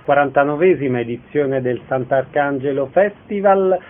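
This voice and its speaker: A man's voice: medium pitch, normal speed, phone-quality sound. A man hosting a radio programme, announcing events and putting questions to guests. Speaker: radio host, interviewer.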